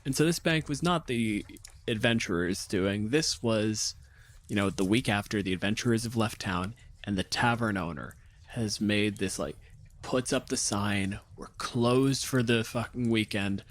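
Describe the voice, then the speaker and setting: A man's voice: fairly low pitch, measured pace. A man co-hosting a podcast as game master, speaking in an informal, casual storytelling way. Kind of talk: casual narration and podcast promotion.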